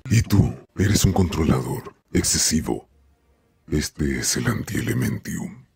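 A man with a Latin American accent playing a cocky, menacing crystal-armored supervillain in an amateur cartoon dub, with a gravelly voice and theatrical delivery. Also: very deep voice, very animated, darkish tone, a little hiss or hum, full character voice. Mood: taunting. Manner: slow, theatrical, swooping delivery.

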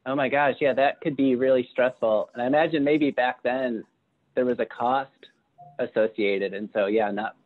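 A man's voice: mid-range voice, steady tone, normal speed. A man in his thirties asking casual, encouraging interview questions through an earbud headset, with muffled phone-like call audio. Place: plain room indoors. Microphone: earbud headset on a video call.

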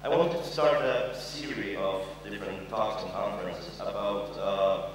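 A man's voice: on the low side, measured pace, recorded from a distance, a little hiss or hum. A young man gives a casual, joking conference talk, full of fillers and the odd swear word. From Poland. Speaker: conference speaker. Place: large conference hall with an audience. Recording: echoey hall recorded from afar.

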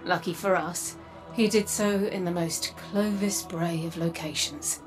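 An actress playing a cool, knowing warrior guide in a video game cutscene, wry and measured. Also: female voice, lowish voice, steady tone, over music, lightly acted, low-quality recording.

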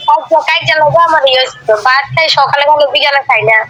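High, bright-voiced rural Bengali woman. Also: rapid-fire. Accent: rural eastern Bengali dialect. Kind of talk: casual domestic phone chat. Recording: phone call with faint noise.

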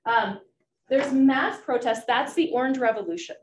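A woman's voice: sits high, normal speed. Woman lecturing in an echoey room. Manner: frequent ums and uhs, restarts, explanatory. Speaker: political science professor. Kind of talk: academic lecture on a slideshow. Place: lecture room with projector screen. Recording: echoey room, faint background noise.

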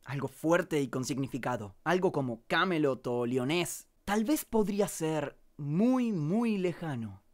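A young man hosting an animated comedy video, giving mock-grand royal proclamations with deadpan asides, recorded on a studio microphone. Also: on the high side, a little slow. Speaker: animation channel host and voice actor. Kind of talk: scripted comic narration for an animated video.

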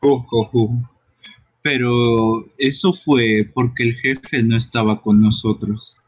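A man's voice: medium pitch, fairly even intonation, takes it slowly. A man voicing a smug, bullying poison-gas creature villain in an amateur game dub, with taunting delivery. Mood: boastful. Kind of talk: amateur live game dubbing.

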